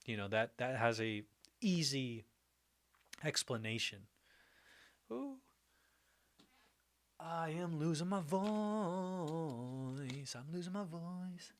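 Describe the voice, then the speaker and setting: A man's voice: a little high, takes it slowly, long pauses. A man hosting a casual livestream, reading viewer comments aloud, thinking them over and laughing often between remarks. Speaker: livestream host. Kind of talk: casual livestream reaction chat.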